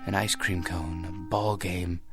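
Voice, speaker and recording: Jaded millionaire, gravelly, wistful, radio drama, faint music under. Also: male voice, low-pitched, little pitch movement, a little slow. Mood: weary. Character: weary rich middle-aged businessman. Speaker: wealthy businessman George Hanley.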